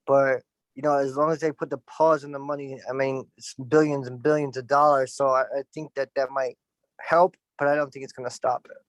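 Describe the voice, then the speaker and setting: A man's voice: mid-range voice, level tone, brisk, no echo. A man in casual chat, blunt and opinionated, with loose "like" fillers.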